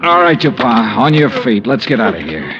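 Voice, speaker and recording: Hard-boiled male investigator, played by an actor: clipped, commanding, very animated delivery, vintage radio serial, dull recording. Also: mid-range voice, moderate pace, crowd noise, lightly acted. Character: brisk, tough, decisive male insurance investigator. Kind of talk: 1950s radio detective acting and narration. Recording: muffled 1950s radio recording.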